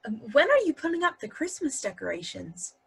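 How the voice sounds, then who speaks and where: A woman's voice: high-pitched, sing-song, brisk, gravelly, heavily acted. A woman playing a blunt, dry-witted partner who defends her man, delivered pointedly in a play reading. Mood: politely probing. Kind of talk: virtual table read of a stage comedy-drama.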